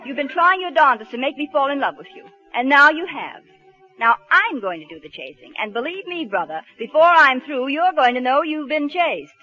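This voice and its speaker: A spirited romantic wife in a vintage radio drama, emphatic and determined. Her high voice moves with lots of melody, on a dull old recording. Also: animated, normal speed, bright, forceful tone. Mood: feisty, amorous. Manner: emphatic, theatrical. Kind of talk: 1940s radio acting.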